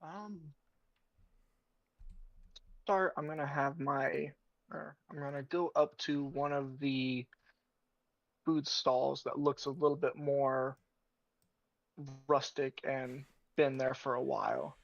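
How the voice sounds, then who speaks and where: A man's voice: medium pitch, level tone, slow, vocal fry, long pauses, no echo, highs cut off. A man playing a tabletop game, casually and tentatively describing what his character does.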